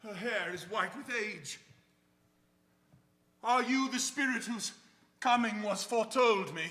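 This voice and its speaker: A man's voice: sits high, unhurried, sharp tone. A man playing a miserly, nervy old man in live radio theater, theatrical and hesitant, given to muttering. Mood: fearful, bewildered. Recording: reverberant live theater hall.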